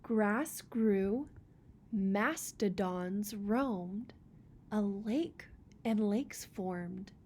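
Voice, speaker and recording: A woman's voice: mid-range voice, very slow, a little mellow, slight background noise. A woman reading a children's picture book aloud as storyteller, expressively, with chatty casual asides.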